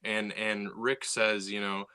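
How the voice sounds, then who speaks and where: A man co-hosting a podcast, talking in a casual, animated way and often restarting and repeating words. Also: fairly low pitch, takes it slowly, clean tone. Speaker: podcast co-host.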